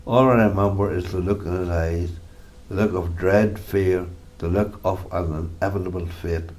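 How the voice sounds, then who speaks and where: A man playing an elderly war veteran grandfather in a radio drama, sombre and bitter, speaking in a grave, reflective, deliberate way. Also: deep voice, fairly even intonation, darkish tone, lightly acted. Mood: haunted, reflective. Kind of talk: amateur radio drama monologue.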